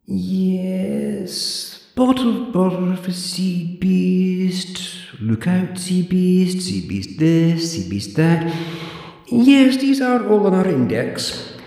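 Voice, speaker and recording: A man puts on a withered old zombie librarian woman in this storybook reading, high and fussy, haughty and pedantic as she quotes the rules. Her voice rings in an echoey room with a long hall-like tail. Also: expressive, drawn-out words, creaky, dark tone, soft background music, heavily acted. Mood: prim, officious.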